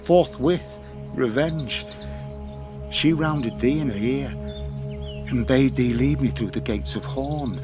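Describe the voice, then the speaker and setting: An actor playing the grieving, haunted ghost of a young Spanish courtier, speaking solemn, declamatory verse in a radio drama. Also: male voice, medium pitch, close to an everyday voice. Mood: awed, intense.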